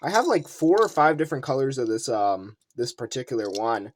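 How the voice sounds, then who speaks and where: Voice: medium pitch, very animated, a little fast. A video host giving casual unboxing commentary, rambling and thinking aloud while showing collectibles. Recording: noisy room with clicking handling sounds.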